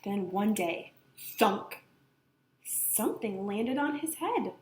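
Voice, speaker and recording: A woman reading a children's picture book aloud with expressive, dramatic emphasis. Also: mid-range voice, slow. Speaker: storybook reader. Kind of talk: animated children's read-aloud narration. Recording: echoey room, slight background noise.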